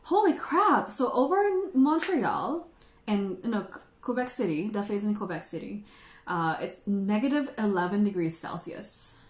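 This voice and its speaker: A woman's voice: medium pitch, expressive, normal speed, a little mellow. The woman you'd find hosting a cozy tasting livestream from a roomy, echoey space, a native Tagalog speaker ribbing her regulars playfully. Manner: playful, teasing, jokey. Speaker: host of a tea tasting livestream. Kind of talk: casual livestream chat with viewers.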